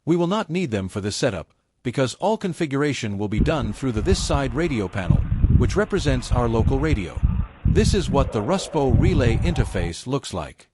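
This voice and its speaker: A man reading a scripted technical tutorial step by step in a measured, methodical way. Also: medium pitch, very animated, a little mellow, slight background noise. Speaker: tutorial presenter.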